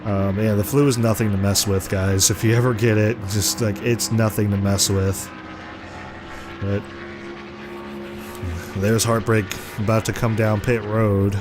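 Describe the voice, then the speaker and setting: A man with a sore, congested throat calls his racing game like a race broadcast, talking casually between the laps. Loud game music and engine noise run under his voice. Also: fairly low pitch, unhurried, pauses often. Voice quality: rough, congested voice. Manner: excited play-by-play, rising sharply at crashes. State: hoarse and congested from the flu. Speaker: gaming commentator.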